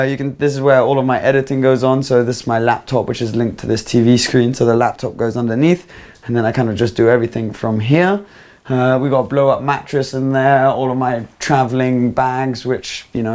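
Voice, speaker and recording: A young man talks his way around a small rented house and garden. His talk is upbeat and rambling. A clip-on mic picks up his voice, indoors and out, at a mid pitch. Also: darkish tone. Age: 20s. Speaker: vlogger giving a house tour. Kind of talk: casual walk-through vlog. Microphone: small clip-on mic on his shirt.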